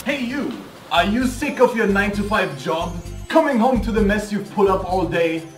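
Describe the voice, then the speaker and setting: A man playing a brash, cheesy PR manager making a bombastic hard-sell pitch for a street racing festival in a video game. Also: lively intonation, normal speed, reverberant, far from the mic, music in the background. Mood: hyped hard sell. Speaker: promoter.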